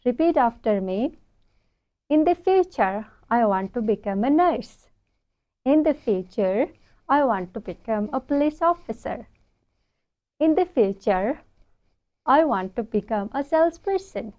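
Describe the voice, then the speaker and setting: A woman gives a spoken English lesson in a fairly high voice. She speaks very slowly, breaking model sentences into deliberate phrases for learners to repeat. The recording sounds a little dull and lo-fi. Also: expressive, darkish tone. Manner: deliberate, phrase-by-phrase instructional delivery. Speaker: English teacher.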